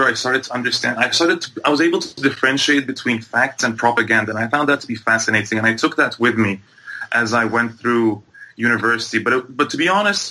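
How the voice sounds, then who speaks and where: A man's voice: medium pitch, sharp tone, rough recording. A man from Egypt and Bahrain speaking as an interview guest, giving earnest, long explanatory answers in a conversational way and often repeating phrases. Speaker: guest, sports journalist and MMA commentator.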